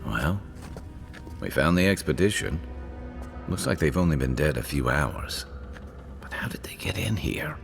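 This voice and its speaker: An actor playing a gruff, practical companion in a fantasy game, terse and matter-of-fact. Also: male voice, very deep voice, lively intonation, measured pace, long pauses, exaggerated voice. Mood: grim, puzzled. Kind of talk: fantasy video game dialogue. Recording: music behind the voice. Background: game music.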